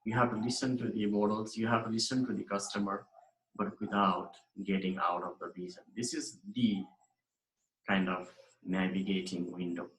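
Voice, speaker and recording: Guy from nepal lecturing over slides, kinda low voice, earnest, repeats himself, roomy echo. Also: fairly even intonation, moderate pace, a bit bright. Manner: earnest, emphatic, repeats and restarts phrases. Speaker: university lecturer.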